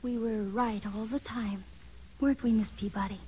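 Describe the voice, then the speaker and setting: A woman voicing an eerie, cold child in a 1940s radio drama, hushed and slow. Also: a little high, steady tone, breathy edge, poor audio. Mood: eerie calm.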